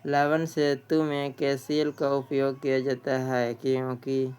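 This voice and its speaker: A man's voice: medium pitch, monotone, slow, a little mellow, smooth. A man teaching an exam practice lesson, reading out questions and answers and often repeating a question before answering.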